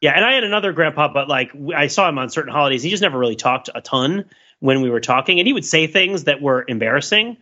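A man's voice: a little high, expressive, fast, sharp tone, lo-fi sound. A man co-hosting a casual podcast, talking in a considered way and qualifying his statements as he goes. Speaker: podcast co-host. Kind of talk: casual discussion.

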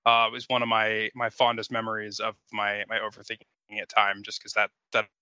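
A man chatting casually as a podcast guest, halting and reflective, over a lo-fi connection. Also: on the low side, monotone, sharp tone, clean tone, no echo.

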